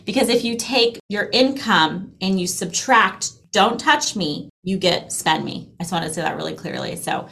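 A woman guest in a casual interview, explaining her ideas with examples and repeating points in an animated way for emphasis. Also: medium pitch, moderate pace, gravelly, hardly any pauses. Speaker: podcast guest, founder of a language-learning company.

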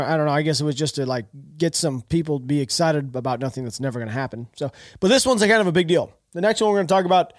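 A high-voiced, nasal man rattles along quickly as he hosts his podcast, stuffed up from allergies. He talks in a rambling, opinionated way, stumbling and restarting his sentences. The recording is close and dry. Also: no room sound. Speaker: podcast host. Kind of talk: casual political commentary.

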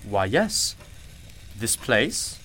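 A man's voice: lowish voice, big pitch swings, extremely slow, pushed and bright, soft background music, heavily acted. A man playing a genial, knowing, mysterious shopkeeper in a radio play, with a courtly, theatrical and cryptic manner. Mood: teasing, courteous.

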